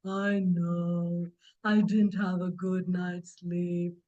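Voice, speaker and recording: A woman's voice: on the low side, flat delivery, drawn-out words, warm tone, dull audio, pitched below the performer's own voice. A woman voicing a sleepy old male tortoise in a children's story, groggy and drawling. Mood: sleepy, grumbling.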